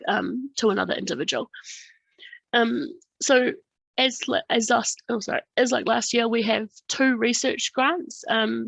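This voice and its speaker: Woman with a cold and gravelly voice. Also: mid-range voice, a bit bright, no echo, low-quality recording. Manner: hesitant, many ums, casual phrasing. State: has a cold, losing her voice. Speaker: funding program presenter. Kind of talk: online workshop presentation.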